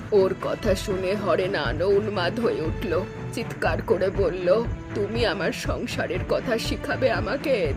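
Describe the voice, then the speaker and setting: A woman voicing a sorrowful, guilt-laden old village mother-in-law in a cartoon melodrama, slow and resigned. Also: medium pitch, lightly acted. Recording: background music under the voice.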